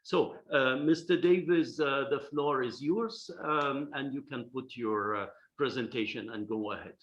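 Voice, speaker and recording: A man's voice: medium pitch, measured pace. Hesitant man moderating a webinar. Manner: hesitant, many fillers. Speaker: session moderator. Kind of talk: formal webinar remarks. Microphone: video call. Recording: dull lo-fi video call audio.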